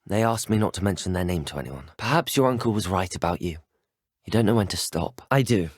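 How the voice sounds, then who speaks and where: Casting: earnest teenage wizard hero, game dialogue; composed, articulate, very varied intonation, dry close sound. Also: male voice, deep voice, animated, brisk, husky, no echo. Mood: questioning, earnest. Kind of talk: video game character dialogue.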